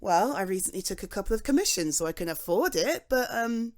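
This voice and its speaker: A young British woman speaking to a friend in an intimate ASMR roleplay, chatty and reassuring, with frequent soft laughs. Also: mid-range voice, lively intonation, a little fast. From Britain.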